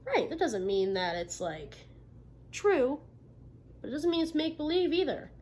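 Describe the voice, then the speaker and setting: A woman reading a picture book aloud as a teacher, expressively, pausing to ask questions and confirm answers. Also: high-pitched, very animated, a little mellow. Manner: instructive, checking in with listeners by questions and affirmations. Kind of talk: interactive children's read-aloud, expressive storybook narration with questions. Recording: noticeable background noise.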